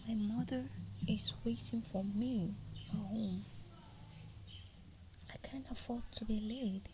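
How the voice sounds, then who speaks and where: A woman's voice: fairly high pitch, fairly even intonation, slow pace, background noise. A woman voicing a frightened young village girl, whispering and pleading.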